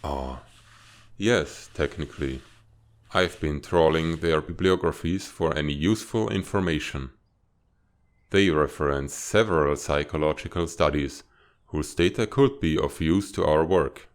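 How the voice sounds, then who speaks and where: A man voicing a stern reptilian spymaster in a comic dub, speaking in a formal, measured, authoritative way. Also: very deep voice. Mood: formal, businesslike. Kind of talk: dramatic fan-dub comic acting.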